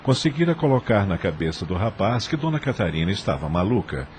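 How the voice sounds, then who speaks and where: A man's voice: on the low side, very animated, a little fast, a little mellow, a little hiss or hum. A man reading scripted narration for a dramatized audio novel.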